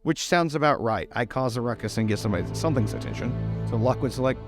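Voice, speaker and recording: A man's voice: mid-range voice, fast, music in the background. A man playing a tabletop roleplaying game, talking casually and improvising as he goes.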